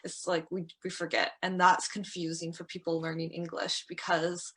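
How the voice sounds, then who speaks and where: A woman's voice: on the low side, flat delivery, moderate pace, fairly bright tone, slightly rough. Young canadian woman tutoring english, chatty, says like a lot, encouraging. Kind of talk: one-on-one English lesson.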